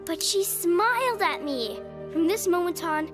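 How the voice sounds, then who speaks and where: A woman's voice: very high-pitched, animated, slow, music in the background, heavily acted. A woman voicing an earnest, naive young cartoon character. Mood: earnest, hopeful. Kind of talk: animated TV cartoon dialogue.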